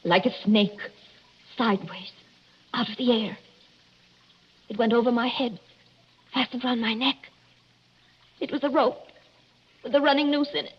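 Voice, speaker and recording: An actress playing a frightened young bride in 1940s radio drama, hysterical, halting and breathless on a dull, noisy old recording. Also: female voice, fairly high pitch, fairly even intonation, breathy edge. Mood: frightened, urgent. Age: young adult. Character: frightened young bride recounting a murder attempt.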